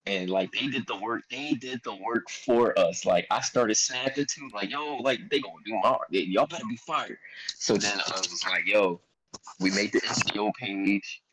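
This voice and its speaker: Slangy Philadelphia man, lowish voice. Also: moderate pace, over a noisy crowd, rough recording. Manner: loose, slangy, quotes himself a lot. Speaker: guest artist. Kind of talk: casual storytelling chat.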